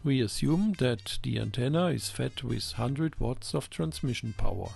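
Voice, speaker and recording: A man presenting a technical explainer in a measured, instructional way, reading scripted narration. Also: medium pitch, expressive, creaky, a little mellow, husky, soft background music. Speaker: presenter of an electronics channel.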